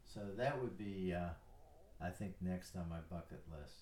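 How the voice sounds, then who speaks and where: This is a retired older man with a deep, low voice, chatting in an easy, self-deprecating way. He sits back from the mic, and the room echoes around him. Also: normal speed, a little mellow, distant mic. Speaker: guest, retired airline pilot. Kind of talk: casual chat.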